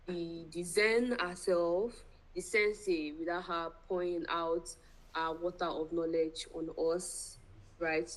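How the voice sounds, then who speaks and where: A woman's voice: fairly low pitch, low-quality recording. A woman with a Nigerian accent hosting a live online event in an effusive, playful and grandiose manner. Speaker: event host.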